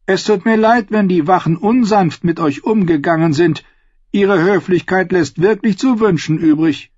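A man voicing a sinister torturer lord in an audiobook, courteous and concerned with quiet menace. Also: high voice, a little slow, dry recording, lo-fi sound, pitched above the performer's own voice. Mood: falsely kind. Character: softly polite, sinister male torturer lord.

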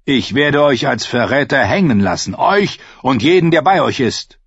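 A man's voice: medium pitch, animated, moderate pace, slightly rough, no room sound, dull audio, pitched above the performer's own voice. A man voicing a cold, stern king, speaking in a raised, firm, commanding way. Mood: threatening.

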